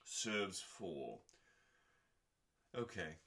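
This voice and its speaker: A man reads recipes aloud with theatrical weight. His delivery is slow and deliberate, broken into short phrases with clear pauses. The voice is bright and forceful, with dramatic rises and falls and the odd deadpan aside. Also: sits low. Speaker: host reading cookbook recipes aloud.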